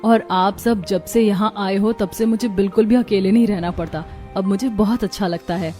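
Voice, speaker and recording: A woman acts a warm young daughter-in-law in a family cartoon. The voice sits at a middle pitch and is earnest and expressive, over background music. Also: normal speed, natural voice. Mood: grateful. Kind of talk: Hindi cartoon dubbing.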